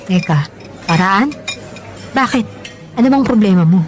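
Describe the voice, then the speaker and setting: An actress playing a kindly, concerned coworker who listens sympathetically in a Filipino radio drama, with conversational delivery. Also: female voice, medium pitch, animated, unhurried, natural voice. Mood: curious, concerned. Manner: gentle, sympathetic, chatty. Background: background music.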